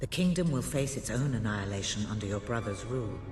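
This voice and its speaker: An actor playing a grave, commanding mystic guide in a video game, speaking in a measured, portentous manner. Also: male voice, lowish voice, lively intonation, lightly acted. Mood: solemn, urging. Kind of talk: dramatic video game cutscene acting. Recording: echoey long reverb, music behind.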